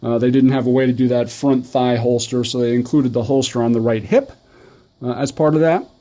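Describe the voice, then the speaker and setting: A man's voice: mid-range voice, fairly even intonation, brisk, dark tone, muffled recording. A middle-aged man giving a casual, conversational video review, talking in a rambling enthusiast's way. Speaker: toy review host.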